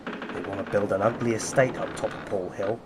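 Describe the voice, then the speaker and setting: An actor playing a hearty, proud fishing-boat skipper with a bluff Cornish accent in a radio play. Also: male voice, fairly low pitch, fairly even intonation, brisk, lightly acted. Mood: proud, indignant. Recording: noisy boat ambience behind voice.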